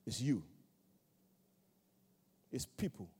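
A male preacher delivers his sermon at an unhurried pace, repeating short phrases for emphasis. His voice is mellow and slightly husky, with a long reverb trailing each line through the church hall. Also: medium pitch, sing-song. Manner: rhythmic repetition, call-and-response phrasing.

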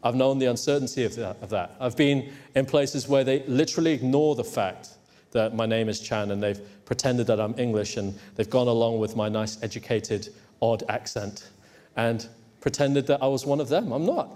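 A man's voice: medium pitch, moderate pace, darkish tone. A man from Hong Kong preaching a sermon emphatically, teasing his congregation at times. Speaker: pastor. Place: church. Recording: long hall reverb.

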